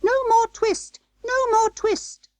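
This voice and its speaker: A storybook narrator voicing tiny, mischievous mice in a small, shrill, sing-song voice. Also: steady tone, slow pace, a little mellow, dry recording. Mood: taunting. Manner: sing-song chanting of rhymes.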